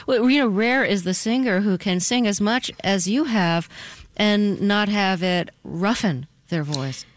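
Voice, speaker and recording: A woman's voice: mid-range voice, a little slow, no room sound. American woman interviewer, dry studio sound. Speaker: radio interviewer. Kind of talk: measured interview questioning.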